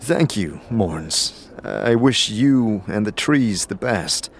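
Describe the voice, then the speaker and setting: A man voicing a curious, uncertain wanderer in a fantasy audio drama, halting and questioning. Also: fairly low pitch, expressive, slight background noise. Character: male amnesiac wanderer, curious, uncertain, seeking guidance. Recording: loud music behind the voice. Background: music.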